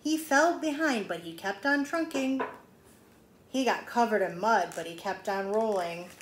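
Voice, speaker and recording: A woman's voice: mid-range voice, lively intonation, measured pace. Woman reading to children, echoey room. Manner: emphatic, with explanatory asides to young listeners. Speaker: children's teacher reading a picture book aloud. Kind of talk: expressive read-aloud storytelling for young children. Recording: echoey room with faint background noise.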